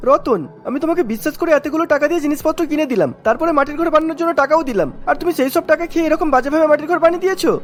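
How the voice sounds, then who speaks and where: A performer voicing excitable villagers in a cartoon, speaking in a hurried, emphatic way. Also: high voice, faint music behind, natural voice. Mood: scolding. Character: agitated, complaining villagers and village headman. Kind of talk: cartoon character dialogue.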